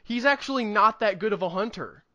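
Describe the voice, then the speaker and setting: A man's voice: sits high, expressive, a little fast, bright, forceful tone, highs cut off. A man co-hosting a film review podcast, chatting casually in an enthusiastic, emphatic way and rambling as thoughts come. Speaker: podcast co-host reviewing films. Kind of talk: casual movie review chat.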